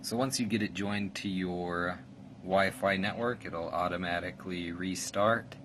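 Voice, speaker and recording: A man with a very low-pitched voice walks through a setup in a casual, plain way. He sounds like a product reviewer explaining each step, with clear background noise in the room. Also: steady tone, unhurried. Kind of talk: casual step-by-step tutorial narration. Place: indoors in a room.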